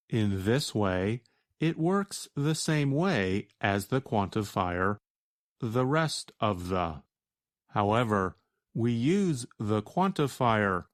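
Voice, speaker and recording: An American man with a fairly low voice teaches from a script. He speaks very slowly and deliberately, explaining each point in a measured way. The sound is dry, with hardly any room. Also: no room sound. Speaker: teacher answering a listener's question. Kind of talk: slow scripted educational narration.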